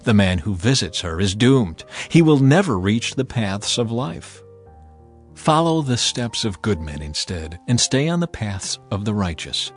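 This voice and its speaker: A man giving a dramatized scripture reading in a solemn, measured, deliberate manner. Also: medium pitch, darkish tone. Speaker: Bible narrator. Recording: music behind the voice. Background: music.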